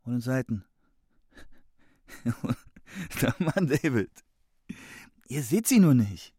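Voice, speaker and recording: A man's voice: medium pitch, sing-song, slow pace, dark tone, slightly rough, exaggerated voice. A man acting a confused young rock guitarist in a German radio play, hesitant, stammering and repeating his words. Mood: muddled, vague.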